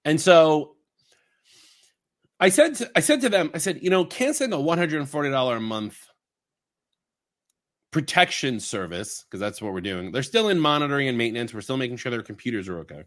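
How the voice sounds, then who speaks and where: A man from Long Island, co-hosting a podcast in rambling, animated casual chat. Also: medium pitch, a little fast, long pauses. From Long Island, New York. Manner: rambling, animated storytelling with fillers and asides. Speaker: podcast co-host.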